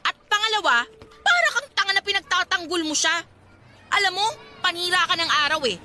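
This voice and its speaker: A woman's voice: very high voice, lively intonation, brisk, pushed and bright, slight background noise, heavily acted. A woman acting a blunt, judgmental, gossiping young cousin in an audio drama. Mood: angry. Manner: blunt, argumentative. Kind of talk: acted Tagalog audio drama dialogue.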